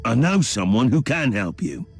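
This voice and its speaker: A man's voice: mid-range voice, big pitch swings, moderate pace, vocal fry, husky, exaggerated voice. An actor playing a sly, scheming male inquisitor in a video game, with an urbane, wry, theatrical delivery. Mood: wry, persuasive. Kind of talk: dramatic video game cutscene acting. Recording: music behind the voice.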